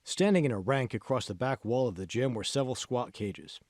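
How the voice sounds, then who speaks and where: A man's voice: medium pitch, a little fast, darkish tone, no room sound, clean studio sound. A man reading scripted fiction narration as the author of an audiobook.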